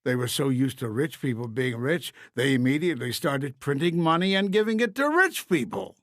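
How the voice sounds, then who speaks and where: A man's voice: mid-range voice, animated, moderate pace, a bit bright, no echo, studio quality. A man narrating a scripted fairy tale in a simple, emphatic storybook style.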